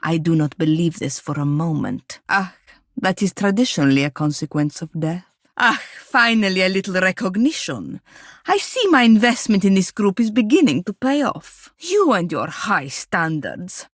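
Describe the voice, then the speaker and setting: An actress playing a haughty, sharp-tongued female wizard in a video game, with dry, impatient, formal delivery. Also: fairly low pitch, big pitch swings, normal speed, no echo. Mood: dry, aloof. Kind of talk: video game character dialogue and battle barks.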